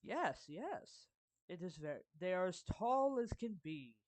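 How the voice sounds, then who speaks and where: A performer voicing a suave, courtly villain turned gently protective friend in an anime fan roleplay, speaking formally and deliberately with pauses mid-phrase. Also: medium pitch, big pitch swings, no echo. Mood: explanatory, grave. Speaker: character voice actor. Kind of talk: anime fan roleplay monologue addressed to the listener.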